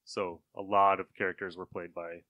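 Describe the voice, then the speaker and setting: A man's voice: deep voice, level tone, normal speed, no echo, dull audio. A man hosting a podcast, talking in a casual discussion with friends. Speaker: podcast host.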